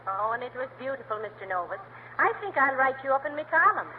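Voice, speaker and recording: A woman playing a sensible, dry-witted wife in a 1940s radio comedy, with reproving, deadpan comebacks. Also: high voice, fast, a little hiss or hum. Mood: admiring. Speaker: comic co-lead of a radio sitcom. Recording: tinny old radio broadcast with reverb.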